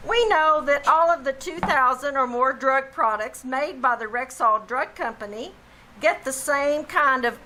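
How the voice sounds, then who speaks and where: A woman's voice: high-pitched, pushed and bright, a little hiss or hum, heavily acted, poor audio. A woman playing an earnest, folksy family druggist and announcer in scripted 1940s radio style, with emphatic, careful salesmanship. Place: theater stage in a live performance.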